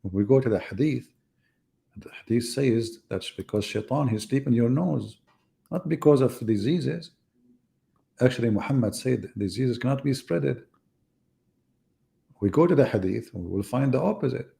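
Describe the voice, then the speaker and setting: A man's voice: mid-range voice, lively intonation, normal speed, gravelly, long pauses, dark tone. A man hosting a live debate stream, talking in a mocking, ironic way with rambling asides. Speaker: livestream debate host. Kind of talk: sarcastic live-stream commentary.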